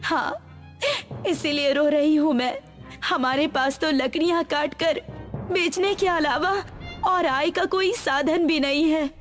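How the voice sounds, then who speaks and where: A woman's voice: sits high, slow pace, faint music behind, pitched above the performer's own voice. A woman voicing a poor woodcutter's worried village wife in a story. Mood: tearful worry.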